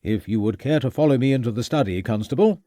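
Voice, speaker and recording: A man's voice: medium pitch, lively intonation, fast talker, dark tone, dry recording, studio quality, close to an everyday voice. A man voicing a composed, proper clergyman in an audiobook mystery. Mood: firm. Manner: firm, proper.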